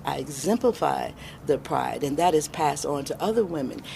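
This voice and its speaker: A middle-aged American woman answering interview questions as a guest, reflective and deliberate, pausing for emphasis. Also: sits low, animated, vocal fry, darkish tone, slight background noise, poor audio. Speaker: interview guest, independent filmmaker.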